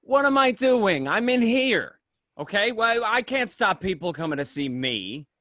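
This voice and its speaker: A man's voice: sits high, sing-song, measured pace, bright, forceful tone, dry recording, studio quality, full character voice. A man acting as a defensive, pleading jailed mobster husband, insistent and placating, in a radio drama. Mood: defensive, exasperated.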